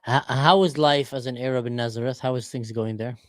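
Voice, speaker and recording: A man's voice: mid-range voice, steady tone, fairly quick, dry recording, clean studio sound. A man in his forties from Israel, chatting with strangers on a livestream and asking lots of questions. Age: 40s. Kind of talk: casual video chat. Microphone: desk camera mic.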